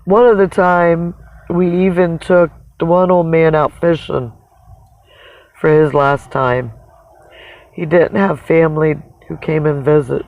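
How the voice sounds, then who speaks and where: A person with a raspy voice talks in a casual, rambling livestream monologue, halting and reflective, often pausing mid-sentence. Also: mid-range voice, steady tone, a little mellow, a little hiss or hum. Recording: dull, narrow phone-like sound.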